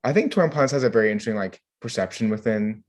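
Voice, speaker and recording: A man co-hosting a podcast, chatting casually in a rambling, halting way with frequent filler words. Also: on the low side, animated. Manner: rambling, halting, filler-heavy delivery with many "like"s. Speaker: podcast co-host. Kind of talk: casual group chat.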